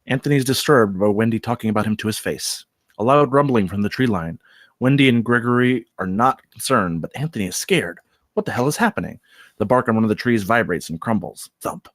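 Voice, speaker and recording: A man's voice: on the low side, lively intonation, fairly quick, fairly bright tone, dry recording. A man reading stage directions as narrator of a scripted comedy table read.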